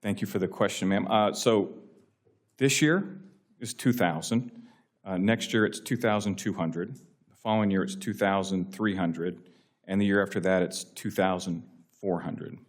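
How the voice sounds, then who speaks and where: A man's voice: low voice, moderate pace. An American man giving formal testimony as an agency official, with deferential, explanatory phrasing. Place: legislative hearing room.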